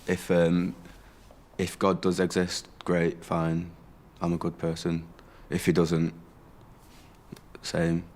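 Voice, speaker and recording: A man's voice: low-pitched, monotone, slow, a little mellow. A man in his twenties, a university student, talking frankly and offhandedly about his values. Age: 20s. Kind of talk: casual vox pop.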